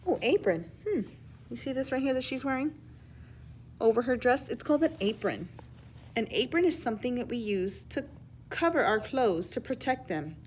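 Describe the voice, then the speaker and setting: A woman reading a children's story aloud in an expressive, teacherly way, pausing to explain words and ask questions. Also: fairly high pitch, slight background noise. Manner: animated, emphatic reading with hushed asides.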